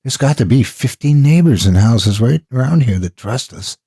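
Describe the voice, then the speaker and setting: A man's voice: medium pitch, expressive, moderate pace, dark tone, husky, no room sound, clean studio sound, voice pushed up. A man voicing a cold, greedy, bloodthirsty wife in a horror story. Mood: whispering, scheming.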